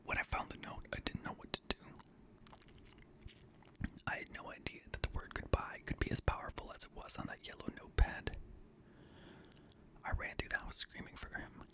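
A young man reading scary stories in a hushed, breathy ASMR whisper, gently, close to a studio microphone. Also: normal speed, long pauses. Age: young adult. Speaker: host and reader of scary stories. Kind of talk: whispered ASMR horror story narration. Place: small indoor home studio room. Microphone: close boom-arm studio microphone.

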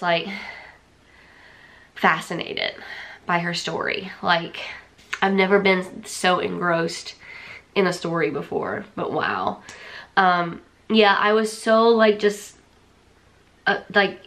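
A woman's voice: medium pitch, fairly bright tone. A woman, chatting casually to camera in a small room at home, congested and rambling with lots of fillers and restarts. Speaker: vlogger. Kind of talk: casual chat. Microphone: camera or phone at arm's length.

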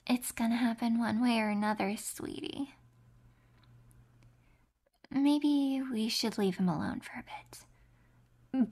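Woman doing a clingy, possessive captor girl in an audio roleplay, husky whisper with fry, slow and cooing. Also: on the high side, exaggerated voice. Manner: soft whispering, cooing pet names. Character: two doting, possessive young women captors.

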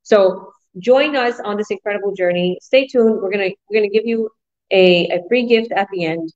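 A woman hosting an online business talk over a video call, pitching with enthusiastic, repetitive sales energy. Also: moderate pace, darkish tone. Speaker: host. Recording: muffled video call audio.

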